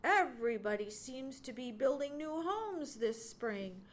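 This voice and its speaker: A woman acts out a young male woodchuck from a children's animal storybook. He sounds proud and sure of himself, with emphatic, animated lines and the stubborn air of a carefree wanderer. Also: sits high, a little slow. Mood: proud, decided. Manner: emphatic, scornful, self-assured.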